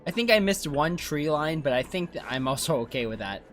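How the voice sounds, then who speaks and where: A man's voice: fairly high pitch, lively intonation, a little fast, fairly bright tone. A man doing casual, chatty playthrough commentary as a game streamer, musing aloud. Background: faint game music.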